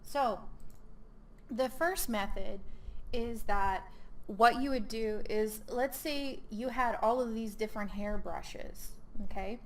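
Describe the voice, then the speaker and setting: A woman hosting a screen-recorded software tutorial, talking casually with many ums and self-corrections, her voice a little hoarse. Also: fairly high pitch, takes it slowly. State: losing her voice. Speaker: host.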